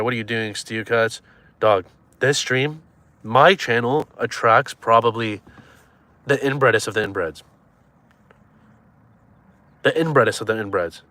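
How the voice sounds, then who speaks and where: A man's voice: mid-range voice, pushed and bright, no room sound. A man in his twenties chatting casually on a live stream, joking and teasing viewers as he reads their comments. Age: 20s.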